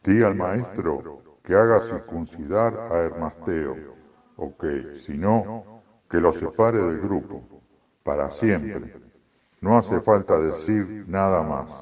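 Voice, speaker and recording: A man's voice: sits low, slow pace, darkish tone. An actor playing a stern, commanding male religious elder in a radio play. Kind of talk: slow radio drama acting. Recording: dull, distant-sounding recording.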